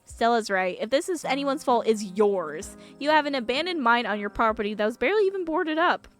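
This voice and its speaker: A woman voicing a blunt, skeptical young woman in a visual novel, quick to confront. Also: a little high, expressive, close to an everyday voice. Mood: accusing. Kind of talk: visual novel character reading. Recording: music behind the voice.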